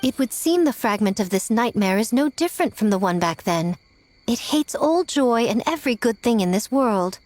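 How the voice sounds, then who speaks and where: A woman's voice: fairly high pitch, natural voice. A woman playing a sly, teasing, theatrical lady in a video game, with an arch, wry delivery. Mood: wry, pointed. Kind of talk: video game cutscene acting.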